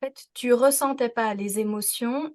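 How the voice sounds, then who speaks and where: A woman podcast host interviewing a guest with gentle, reflective questions. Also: a little high, moderate pace. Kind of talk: interviewing conversation.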